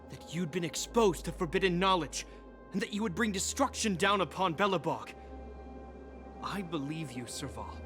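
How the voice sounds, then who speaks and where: A male actor voices a grave, dutiful young officer in a dramatic video game. His voice sits high for a man, and he speaks formally and with command, wounded yet unyielding, with music playing behind him. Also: natural voice.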